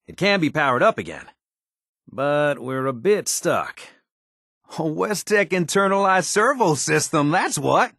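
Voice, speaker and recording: An actor playing a folksy, wisecracking American tinkerer in a video game, talking in casual slang. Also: male voice, a little high, big pitch swings, measured pace, creaky, a bit bright, no echo, studio quality, full character voice. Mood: eager, persuasive. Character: folksy, wisecracking male tinkerer and scrappy settler ally.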